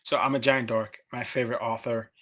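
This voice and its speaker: A man's voice: medium pitch, little pitch movement, brisk, fairly bright tone. A man from the United States chatting casually on a podcast in a rambling, self-deprecating way. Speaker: podcast participant. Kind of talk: casual chat.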